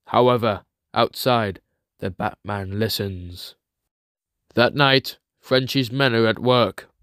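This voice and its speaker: A man's voice: on the low side, takes it slowly, a bit bright, dry recording, studio quality. A man reading scripted, dramatic comic book narration as the storyteller. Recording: music clearly behind the voice.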